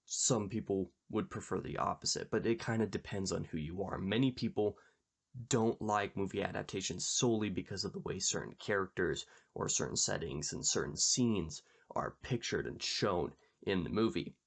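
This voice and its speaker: A man hosting a book channel, talking casually and explaining in a measured, conversational way with a slightly gravelly voice. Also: low voice. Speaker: YouTube book channel host. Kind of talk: casual book discussion to camera.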